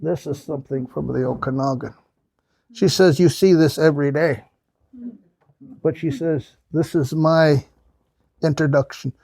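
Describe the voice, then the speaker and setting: Older man, gravelly, slightly high voice, dry close sound, rambling storyteller, from the US Pacific Northwest. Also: steady tone, unhurried, pauses often, a little mellow, dry recording. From Pacific Northwest, United States. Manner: rambling, folksy, with restarts. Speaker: guest speaker. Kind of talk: storytelling talk with audience questions. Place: small hall with audience.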